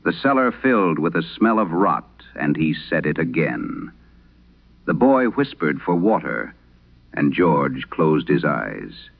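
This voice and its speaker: Guy doing old exorcist priest, super deep n gravelly, grave horror radio story, old radio sound. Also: very animated, narrow, tinny audio, full character voice. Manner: grave, measured, dramatic pauses. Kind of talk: solemn first-person horror radio storytelling. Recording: band-limited vintage radio sound.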